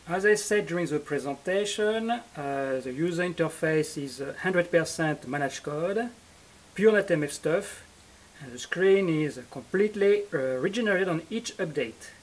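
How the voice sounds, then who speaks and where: Higher-voiced man giving a halting technical demo. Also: expressive. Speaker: electronics project presenter.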